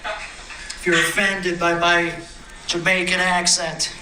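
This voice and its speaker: A man's voice: sits high, level tone, slow. Brash man doing stand-up in a noisy bar. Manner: brash, cocky swagger. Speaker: open mic comic. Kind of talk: stand-up comedy set. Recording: roomy distant bar recording with noise.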